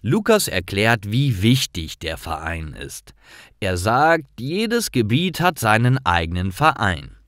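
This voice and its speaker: A man reading simple scripted stories aloud in a clear, deliberate manner, as a storyteller for language learners. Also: fairly low pitch, big pitch swings, fairly bright tone, no echo, clean studio sound.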